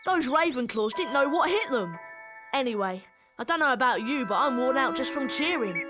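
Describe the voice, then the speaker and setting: A woman's voice: sits high, lively intonation, normal speed, music in the background. A woman playing an eager, chatty schoolboy in a video game. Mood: chatty, curious. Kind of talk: video game cutscene acting.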